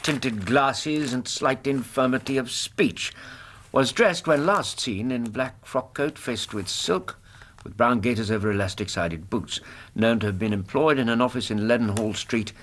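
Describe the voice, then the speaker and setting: An actor playing a loyal, mild, slightly stuffy Victorian gentleman in a radio drama, with an English accent, a husky voice and a measured, earnest manner. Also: medium pitch. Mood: reading aloud.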